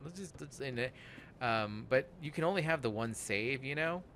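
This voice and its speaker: A man of about forty chatting casually over gameplay, rambling and halting, with plenty of swearing. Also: mid-range voice, expressive, a bit bright, a little hiss or hum. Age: around 40. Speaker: host of a gaming let's play podcast.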